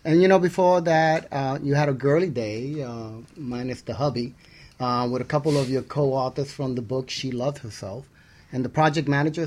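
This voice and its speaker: A man's voice: medium pitch, a little mellow. A middle-aged man co-hosting a radio talk show, chatty and upbeat, affirming as he goes, with some scripted reading.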